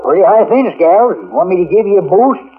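An actor playing a crusty old cowboy sidekick with a folksy, blustering American drawl in a 1940s radio comedy. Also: male voice, sits high, animated, brisk. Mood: grumbling, scornful. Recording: narrow, tinny vintage radio recording.

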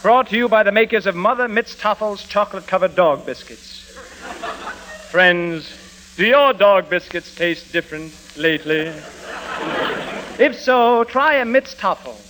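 Folksy high-voiced radio announcer. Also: male voice, animated, measured pace, sharp tone, exaggerated voice. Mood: mock grand. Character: folksy, gushing male radio soap announcer pitching products. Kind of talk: 1940s radio advertising parody. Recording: hissy, crackly old radio recording.

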